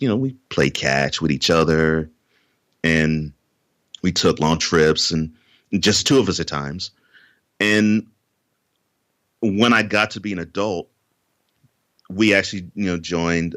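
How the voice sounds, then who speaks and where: A man's voice: sits low, a bit bright, dry recording, studio quality. A man from Houston, Texas, talking earnestly as a podcast guest and often repeating words as he starts a sentence. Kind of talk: interview conversation.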